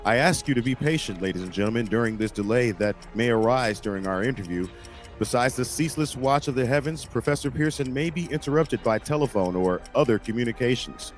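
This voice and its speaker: Formal radio field reporter, acted by a man, mid-pitched. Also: expressive, fairly quick, soft background music, close to an everyday voice. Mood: earnest, descriptive. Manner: florid, descriptive live commentary. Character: male on-scene radio reporter, formal and descriptive. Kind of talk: 1930s radio drama commentary. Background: ticking clockwork sound effect.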